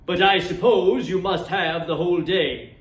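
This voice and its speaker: A man's voice: high-pitched, measured pace, fairly bright tone. A man voicing a stingy, grumbling old moneylender in a storybook reading, curt and grudging. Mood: sour, grudging. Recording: roomy echo, distant microphone.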